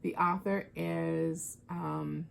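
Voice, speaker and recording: A woman with a low, slightly gravelly voice talks casually in the manner of a book vlogger. She is conversational and thinks out loud as she goes. There is faint noise behind her. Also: steady tone, drawn-out words. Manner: informal, conversational, thinking aloud. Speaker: book reviewer. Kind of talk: casual book chat vlog.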